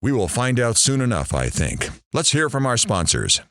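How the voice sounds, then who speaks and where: A man's voice: medium pitch, huge pitch swings, moderate pace, fairly bright tone, no room sound, clean studio sound. A man playing a brash, crude and nosy radio talk show host in an audio drama, teasing and showy. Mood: hyped, showy.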